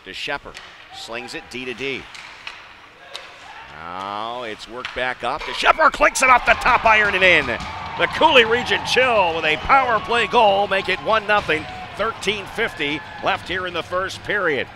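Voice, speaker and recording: American man calling hockey over rink noise. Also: high-pitched. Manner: excitable on big plays, measured between. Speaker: play-by-play hockey announcer. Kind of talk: live radio-style sports commentary. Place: indoor ice arena. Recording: noisy live rink broadcast.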